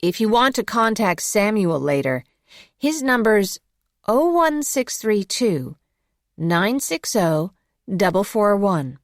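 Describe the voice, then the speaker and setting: A woman voice artist reads example phone messages for learners. She takes her time over each phrase with careful enunciation and expressive intonation, in a very dry studio recording. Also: mid-range voice, slow, no room sound. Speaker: English course voice artist.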